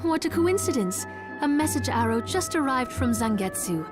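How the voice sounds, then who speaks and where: A woman playing a composed, gently authoritative ally in a video game, speaking in a measured, formal way. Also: high voice, expressive, over music. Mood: calm, informative.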